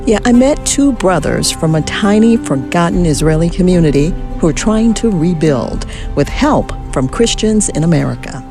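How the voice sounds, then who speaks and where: A woman reporter reads a scripted radio news story in a measured, clear voice. Soft music sits faintly beneath her narration. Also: on the low side, lively intonation.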